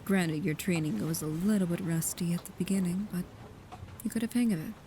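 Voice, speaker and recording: Low-voiced female vampire protector, softly intimate, acted by a woman. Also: lightly acted. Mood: calmly proud. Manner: slow, halting, often dropping into a whisper. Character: devoted female vampire protector of a young royal, proud, guarded. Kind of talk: soft, intimate ASMR roleplay acting. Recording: clear background noise with ambience.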